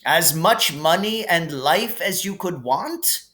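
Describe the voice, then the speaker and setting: A man voicing a kindly, whimsical elderly wizard headmaster in a storybook reading, with calm, gently formal phrasing. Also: fairly high pitch, slow pace, pitched above the performer's own voice, exaggerated voice. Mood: wise, gentle. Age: older. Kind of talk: audiobook character reading.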